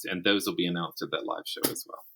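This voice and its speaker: Deep-voiced man chatting as interview guest. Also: steady tone, fast, pushed and bright, breathy edge. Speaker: podcast guest, writer and teacher. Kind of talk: casual conversational interview.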